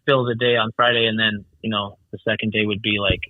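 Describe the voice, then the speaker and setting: A man's voice: on the low side, level tone, fairly quick, no room sound, phone-quality sound. A man who organizes races, chatting casually on a call with many restarts and fillers. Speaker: snowmobile race organizer. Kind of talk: casual phone conversation.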